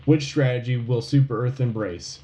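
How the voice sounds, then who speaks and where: A man's voice: medium pitch, fairly even intonation, normal speed, mellow, lightly acted, rough recording. A man playing an earnest wartime radio news anchor, solemn and rallying in a scripted broadcast delivery. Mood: solemn, rousing. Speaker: radio show host. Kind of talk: scripted propaganda-style war news broadcast.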